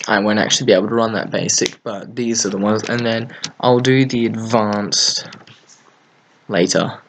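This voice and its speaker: A man casually hosting a software tutorial, thinking aloud in an offhand way as he works at his computer. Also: lowish voice, poor audio. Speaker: tutorial host. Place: at a computer desk, screen recording.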